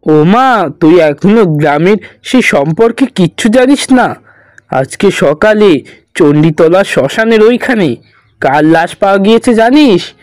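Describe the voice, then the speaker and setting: A man voicing an excitable, pushy village man who spreads grim news in a horror cartoon. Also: sits high, unhurried, dry recording. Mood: excited.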